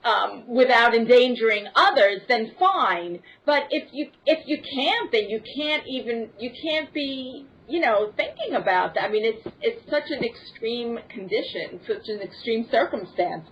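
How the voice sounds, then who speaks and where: A woman with a somewhat high voice speaks conversationally in a panel chat. She works out her thoughts as she goes, doubling back on phrases. The sound is slightly lo-fi and lacking in top end. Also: lively intonation, measured pace, hardly any pauses, fairly bright tone, slight background noise. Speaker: podcast guest. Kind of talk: casual panel discussion. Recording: dull, band-limited lo-fi audio.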